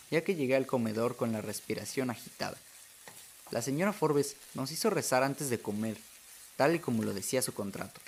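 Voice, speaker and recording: A man's voice: medium pitch, a little hiss or hum. A man narrating a short story as a scripted radio drama, reading as the narrator.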